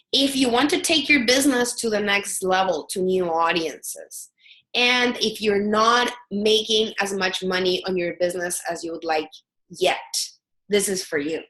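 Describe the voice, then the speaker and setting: A woman's voice: medium pitch, a bit bright, reverberant, rough recording. A woman in her thirties from El Salvador with a Salvadoran Spanish accent, giving an earnest webinar presentation as a product strategist, with frequent fillers and restarts.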